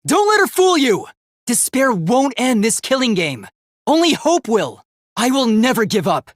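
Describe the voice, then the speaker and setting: A man voicing an earnest, determined and idealistic young male robot in a dramatic video game, with a youthful, light, slightly husky voice and a formal, emphatic delivery. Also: very high voice, big pitch swings, unhurried, no echo, studio quality, full character voice. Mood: fierce, defiant.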